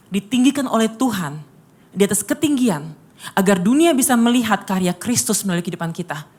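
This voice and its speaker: A woman from Bali with a lightly Balinese-tinged Indonesian accent, giving a sermon-style workshop talk as a lively storyteller who speaks directly to her audience. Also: medium pitch.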